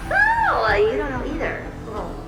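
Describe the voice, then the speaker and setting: A woman voicing a floating puppet helper in a video game, who flatters her mistress and narrates in a theatrical, playful way. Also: extremely high pitch, normal speed, vocal fry, full character voice. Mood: fawning. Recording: roomy and distant, with music. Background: game music.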